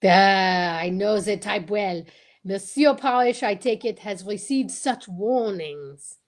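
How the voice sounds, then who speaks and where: A woman's voice: mid-range voice, takes it slowly, close to an everyday voice. A woman playing a courteous, self-assured male Belgian detective in an old-time radio style drama, theatrical and peppered with French phrases. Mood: confident, deliberate.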